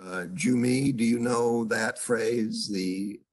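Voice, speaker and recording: An older man teaching an online seminar as a professor, speaking haltingly with many fillers and stopping often to put questions to his students over a video call. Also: medium pitch, fairly even intonation, darkish tone. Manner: halting, full of uhs, asks students questions. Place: home room on a video call. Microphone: video call computer mic.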